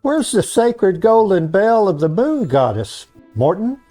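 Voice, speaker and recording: A man voicing a weary, grumpy old high priest, pompous and grumbling, in a comic fantasy story. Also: big pitch swings, unhurried, mellow, faint music behind, full character voice. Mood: indignant. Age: older.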